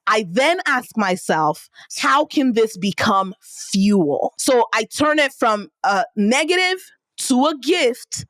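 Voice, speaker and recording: A woman with a Nigerian accent, a podcast guest telling stories in a lively, casual way. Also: slow pace, no room sound. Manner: animated, acts out quotes with big pitch jumps. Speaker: podcast guest, entrepreneur and speaker. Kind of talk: storytelling in casual interview.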